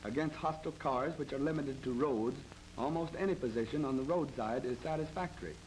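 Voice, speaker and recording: 1930s American training film narrator, noisy old soundtrack. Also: male voice, mid-range voice, darkish tone. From United States. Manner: formal, measured, matter-of-fact.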